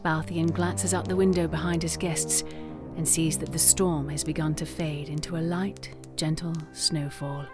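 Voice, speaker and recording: A solemn woman as storyteller narrates a fantasy epic with measured drama. Her voice is a little low, and music plays clearly behind her. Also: little pitch movement, lightly acted. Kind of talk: scripted narration for audio drama.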